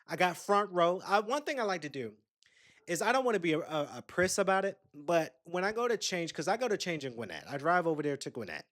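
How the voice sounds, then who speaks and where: A man's voice: high-pitched, big pitch swings, a little fast, a bit bright. A young man, hosting a podcast and telling a rambling, chatty story straight to his listeners. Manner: rambling, chatty, addresses listeners directly with asides. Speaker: podcast host. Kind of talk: casual solo storytelling.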